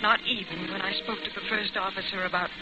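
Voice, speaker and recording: A woman's voice: mid-range voice, fairly even intonation, fast talker, very noisy, close to an everyday voice. An actress playing an anxious, secretive young woman in a 1940s radio drama, tense and hesitant. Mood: subdued dread. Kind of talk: 1940s radio drama acting, first-person narration and dialogue. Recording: dull vintage radio recording, loud music behind.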